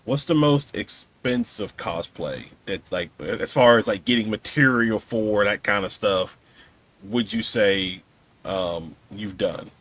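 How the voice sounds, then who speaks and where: Halting man hosting a casual podcast. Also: lowish voice, fairly bright tone. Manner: halting, often rewords questions. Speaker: podcast host, interviewer. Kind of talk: casual interview questions.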